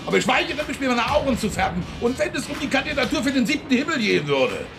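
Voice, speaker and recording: A man's voice: very high voice, sing-song, fast talker, creaky, exaggerated voice. A man acting a stubborn, combative populist politician in a German radio play, emphatic and indignant. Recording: music and crowd noise behind.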